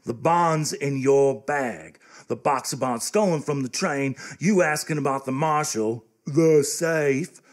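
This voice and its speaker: An actor playing a gullible, eager-to-please small-town American young man in comic live radio theatre, stammering and naive. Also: a little high. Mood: proud. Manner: slow-witted, stammering, naive.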